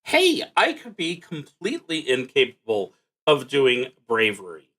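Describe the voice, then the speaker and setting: A man narrating a solo tabletop game, reading cards aloud and thinking out loud in a casual, wry way. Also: mid-range voice, huge pitch swings, a little slow. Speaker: solo roleplaying game streamer.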